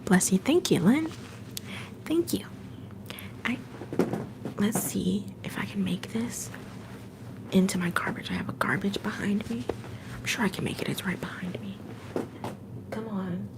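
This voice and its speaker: A young woman hosting a livestream from home, whispering softly and affectionately to her viewers. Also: mid-range voice, animated, unhurried, long pauses. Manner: soft whisper, affectionate and encouraging. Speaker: livestream host.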